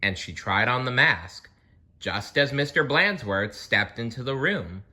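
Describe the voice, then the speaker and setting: A storybook reader narrating for children in an expressive, deliberate way with dramatic pauses. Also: mid-range voice.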